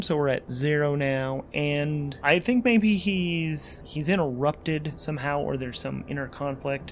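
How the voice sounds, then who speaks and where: A man's voice: fairly high pitch, slight background noise. A man narrating his solo tabletop role-playing game, thinking aloud in a conversational, musing way as host and player.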